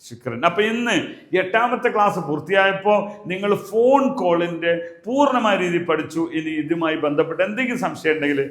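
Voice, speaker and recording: Male language teacher, Malayali accent, high-pitched voice, explanatory delivery with deliberate repetition, recorded in a reverberant room. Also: expressive, fairly bright tone. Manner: explanatory, repeats example phrases slowly and deliberately. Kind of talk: spoken language lesson. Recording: echoey room.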